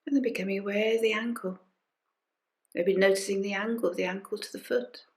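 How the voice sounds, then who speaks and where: A woman guides a body scan meditation at an unhurried, gentle pace. Her medium-pitched voice comes in short phrases with restful pauses, as a teacher softly inviting listeners to follow along. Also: steady tone. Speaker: meditation teacher leading a group session. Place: recorded during an online session.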